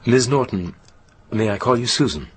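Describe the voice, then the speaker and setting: A man playing a formal, careful psychotherapist who probes with measured pauses in a naturalistic radio drama. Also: mid-range voice, lively intonation, slight background noise, close to an everyday voice. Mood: courteous, professional. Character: measured, probing American male psychotherapist. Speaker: actor in a radio play.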